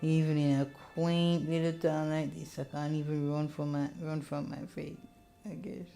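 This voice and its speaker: A woman's voice: sits low, flat delivery, moderate pace, gravelly, dark tone, soft background music. Woman reading as a weary, grumbling male detective. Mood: resigned. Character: grumbling, world-weary thirty-year-old male private investigator.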